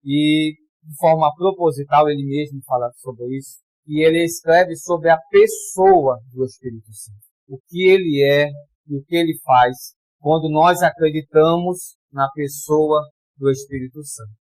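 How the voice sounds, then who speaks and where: A man from Pará, Brazil, preaching a sermon in a conversational way, calling for amens and reading scripture slowly with emphasis. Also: on the high side, a little mellow, no room sound, rough recording. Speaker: pastor.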